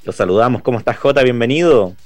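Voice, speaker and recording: A male radio host, interviewing a guest and often pausing on "eh". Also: mid-range voice, expressive, dry recording, faint music behind. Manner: hesitant with frequent "eh" fillers.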